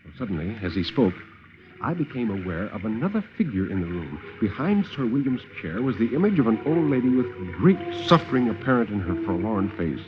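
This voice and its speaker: Low British male radio storyteller, animated range. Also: fairly quick, noisy background. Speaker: narrator. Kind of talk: dramatic scripted first-person horror narration, 1950s radio. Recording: dull, muffled vintage radio recording.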